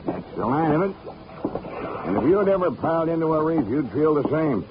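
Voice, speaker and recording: An actor playing a gruff old boat captain who talks in nautical slang, in an old radio drama with a dull, hissy recording. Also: male voice, a little high, sing-song, normal speed. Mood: salty, gruff. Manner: nautical slang, calls others "Sonny". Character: gruff old male boat captain, salty sea talk. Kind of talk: 1940s radio acting.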